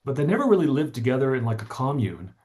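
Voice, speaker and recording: Articulate middle-aged man, medium-pitched voice. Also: big pitch swings, fast talker, a little mellow. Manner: articulate, emphatic, stresses points by repetition. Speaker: podcast guest, author. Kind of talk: conversational storytelling and explaining in an interview.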